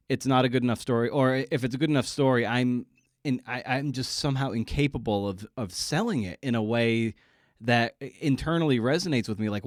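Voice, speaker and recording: A man's voice: mid-range voice, no echo. American man, dry close-sounding casual interviewer. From Massachusetts, United States. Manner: halting, repeats words, builds analogies. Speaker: podcast host interviewing a writer.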